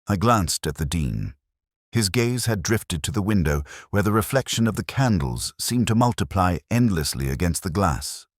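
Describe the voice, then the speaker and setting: A man reading a scripted first-person detective story in a measured, literary, brooding way. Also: rumbling low, slightly rough, dry recording, clean studio sound. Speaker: narrator.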